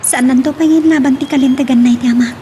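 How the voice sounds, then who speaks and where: A woman's voice: high voice, fairly even intonation, fast talker, dark tone, natural voice. A woman playing a troubled, earnest daughter pleading with her father in an Ilocano audio drama, with an Ilocano accent. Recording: clear background hiss and noise.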